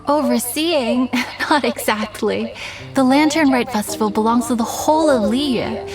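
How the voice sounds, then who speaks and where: An actress playing a friendly, organised festival coordinator in a game, polite and gently amused. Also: female voice, a little high, a little slow, close to an everyday voice. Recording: distant voice over game music.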